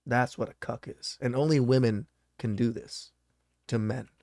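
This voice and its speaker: A man's voice: medium pitch, fairly even intonation, moderate pace, a little mellow. A man co-hosting a podcast, blunt and dismissive as he explains his view.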